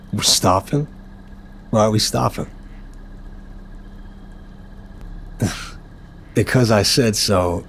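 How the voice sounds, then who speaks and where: A man's voice: on the low side, slight background noise, lightly acted. A man playing an apologetic, nervous but charming mafia boss in an ASMR roleplay, chatty, wry and flirtatious. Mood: wry, teasing.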